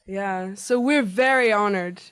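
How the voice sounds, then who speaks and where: A woman's voice: mid-range voice, a little slow, fairly bright tone, clear, resonant tone. A woman hosting a show in a casual, enthusiastic way, talking to viewers and guests. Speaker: TV show host and interviewer. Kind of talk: casual presenting to camera.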